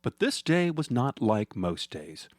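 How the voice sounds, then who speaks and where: A man's voice: medium pitch, big pitch swings, unhurried, a little mellow, no echo, studio quality. A man narrating a scripted comedic story as a storyteller.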